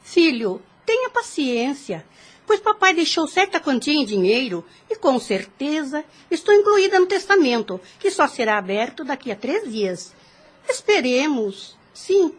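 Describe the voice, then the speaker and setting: A woman's voice: sits high, very animated, unhurried. A woman playing a formal, inquisitive, calculating mother and would-be heiress in a theatrical audio drama style. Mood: expectant, calculating. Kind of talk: religious audio drama acting.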